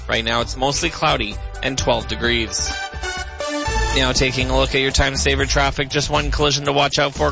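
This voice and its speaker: A man reading the weather and traffic on the radio in a brisk, matter-of-fact way. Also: medium pitch, creaky. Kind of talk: scripted radio report. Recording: lo-fi radio broadcast with music bed.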